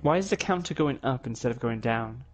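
Young man, casual gaming chat, explains moves, mild swearing, animated voice. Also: medium pitch, a little fast, soft background music. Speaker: co-player.

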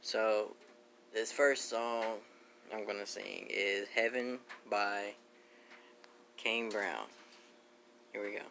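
A young man speaks quietly and casually into a phone held at arm's length in a room at home. His delivery is plain and a little halting, like an amateur introducing an audition tape. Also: lowish voice, fairly even intonation, vocal fry, a little hiss or hum. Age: young adult. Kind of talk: casual spoken introduction to a self-taped audition. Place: small room at home, indoors.

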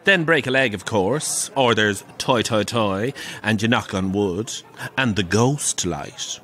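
An actor playing a camp, wry, middle-aged Irish pantomime dame who relishes telling grisly ghost stories in an audio drama. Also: male voice, on the low side, lively intonation, takes it slowly, fairly bright tone. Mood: wry, breezy. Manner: theatrical, wry, relishing the tale.

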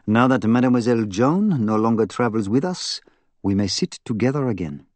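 A man performs a middle-aged male Belgian detective for an audiobook. He has a French-speaking Belgian accent and speaks with fussy, formal precision. He is earnest and gravely insistent, in a dry recording. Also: medium pitch, expressive, moderate pace, a little mellow, no room sound, lightly acted. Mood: grave, emphatic. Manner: precise, formal, emphatic phrasing. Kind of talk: audiobook character acting.

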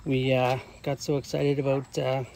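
A man's voice: medium pitch, completely flat delivery, moderate pace, vocal fry. A man in his forties hosting a casual outdoor vlog, talking plainly and hesitantly into a handheld camera. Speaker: vlog host, magnet fishing father. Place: outdoors, bridge by a road and later outside at home. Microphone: handheld camera or phone. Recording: noisy outdoor traffic ambience.

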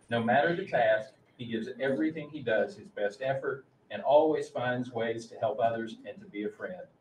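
Distant man presenting in a roomy meeting space. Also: medium pitch. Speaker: school official presenting staff and student awards. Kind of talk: formal announcements, reading tributes aloud. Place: large meeting room, indoors. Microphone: distant room microphone. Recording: roomy, distant mic, faint noise.

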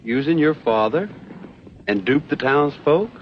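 A young man playing a smooth-talking, teasing frontier con man in a TV western. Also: medium pitch, animated, measured pace, close to an everyday voice. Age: young adult. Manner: unruffled, teasing. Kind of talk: 1960s TV western acting. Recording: dull vintage TV soundtrack, faint noise.

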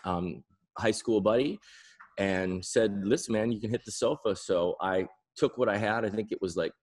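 A middle-aged man from the American Midwest with an American accent, telling stories casually as an interview guest, rambling and pausing mid-thought. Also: lowish voice. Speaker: interview guest, winery founder. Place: indoor room at home, on a video call.

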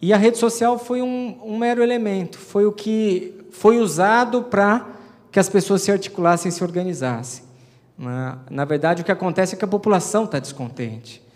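A man's voice: high-pitched, normal speed. A man in his thirties with a Brazilian Portuguese accent, a mayor giving a public address on a handheld mic, informal and conversational with frequent fillers. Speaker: city mayor. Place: large auditorium, seated at a panel table. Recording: long hall reverb.

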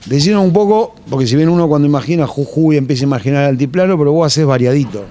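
A man with an Argentine accent hosting a casual interview, asking quick friendly questions. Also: a little high, lively intonation, dark tone. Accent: Argentine Spanish. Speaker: radio-TV interviewer.

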